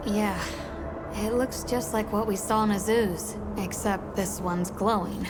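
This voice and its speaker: A woman's voice: medium pitch, fairly even intonation, a little slow, close to an everyday voice. A woman playing a practical, caring young adventurer in a video game cutscene, with music behind her. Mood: practical, encouraging.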